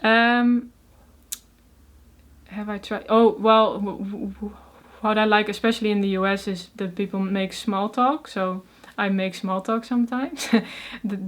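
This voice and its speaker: A woman's voice: mid-range voice, steady tone, a little slow. A young woman from the Netherlands with a Dutch accent, who stutters on first sounds and talks with many fillers as an interview guest. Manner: soft-spoken, many fillers, frequent laughs. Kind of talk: casual interview conversation.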